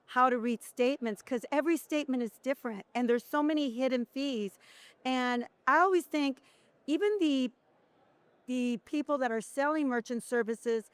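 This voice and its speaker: A woman's voice: on the high side, a bit bright, smooth, dry recording. A middle-aged woman co-hosting a podcast interview in a conversational way. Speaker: podcast co-host.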